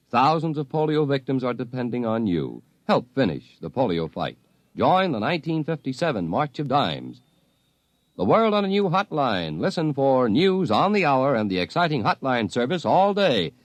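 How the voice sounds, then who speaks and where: A man's voice: mid-range voice, dry recording. An American man reading a 1950s radio public service announcement in an earnest, emphatic way.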